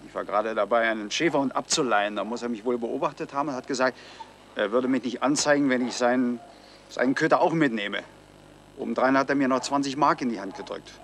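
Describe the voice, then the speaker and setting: A man's voice: mid-range voice, quick pace, fairly bright tone, close to an everyday voice. An actor playing a shifty, down-on-his-luck dog thief under questioning in a radio crime drama, evasive and self-justifying. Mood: confessing. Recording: slightly noisy 1970s radio recording.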